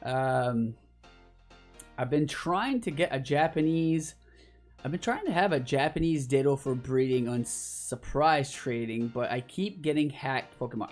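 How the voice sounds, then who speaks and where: A man from Canada hosting a gaming livestream, chatting casually in a rambling, jokey way. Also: a little high. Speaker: livestream host. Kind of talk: casual gaming stream chat. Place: at home in an apartment, live voice chat. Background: background music.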